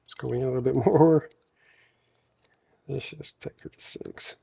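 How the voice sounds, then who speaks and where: Country guy, real deep mellow voice, bit of a cold, talking himself through a shop project. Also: unhurried, gravelly, breathy edge. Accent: American country. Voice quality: naturally very deep voice. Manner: talks to himself while working, self-correcting. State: slight head cold. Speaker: host showing a woodworking project. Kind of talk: casual workshop tutorial, thinking aloud.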